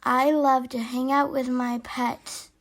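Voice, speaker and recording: Young girl from the United States, aged seven, reading a letter at an unhurried pace with a gravelly edge. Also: sits high, little pitch movement. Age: child, seven years old.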